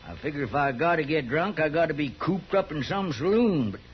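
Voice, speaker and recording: An actor playing a stubborn old prairie drifter with hesitant, folksy frontier speech in a 1950s radio Western. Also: male voice, fairly high pitch, animated, a little fast, lightly acted. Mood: wry, reserved. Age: older. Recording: dull, noisy 1950s radio recording.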